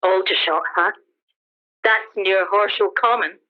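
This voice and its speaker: A woman's voice: mid-range voice, unhurried, lightly acted. Woman playing a defiant soldier survivor, thin phone-like sound. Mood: wary, territorial. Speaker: amateur actor in a radio play. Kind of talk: dramatised radio play dialogue.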